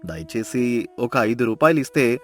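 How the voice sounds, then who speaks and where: A man's voice: medium pitch, measured pace, soft background music, close to an everyday voice. A man playing a meek, weary, poor woodcutter in a folk tale, pleading and grumbling.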